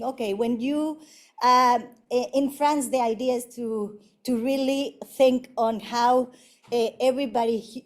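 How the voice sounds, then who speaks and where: Mexican woman architect giving a talk, bit high voice, mellow and soft, lots of uhs and ums. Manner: halting, many fillers and restarts. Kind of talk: university lecture presenting projects.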